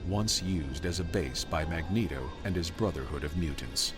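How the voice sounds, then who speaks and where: A man playing a grave scene narrator in a motion comic, formal and measured. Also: sits low, music in the background, natural voice. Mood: solemn. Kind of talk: scripted narration.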